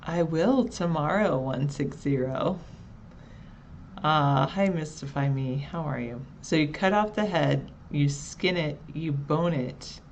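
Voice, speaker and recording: A woman co-hosting a casual live chat show, relaxed and chatty, answering viewers by their usernames. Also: deep voice, takes it slowly, a little hiss or hum.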